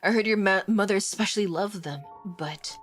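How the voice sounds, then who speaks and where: A woman reading the lines of a gentle, hesitant young male servant in a game, speaking softly. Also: mid-range voice, moderate pace, soft background music, close to an everyday voice.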